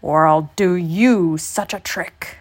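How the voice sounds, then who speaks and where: Woman putting on a croaky, blustering ancient old man. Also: a little high, sing-song, dry recording. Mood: indignant. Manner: drawn-out, loud hollering. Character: crotchety, blustering eight hundred-year-old man.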